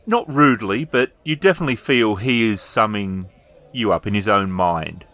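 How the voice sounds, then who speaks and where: A man narrating a tabletop role-playing game as storyteller, improvising descriptions with hesitant pauses. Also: on the low side, fairly bright tone.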